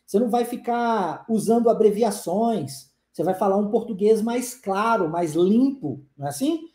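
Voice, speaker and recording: A man in his forties with a soft, mellow voice teaches a live online class. He talks emphatically, pushing his argument and repeating rhetorical questions to the viewers. The room around him rings with a noticeable echo. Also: sits high, lively intonation, normal speed. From born in Brazil, raised in the United States. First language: English. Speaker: English teacher.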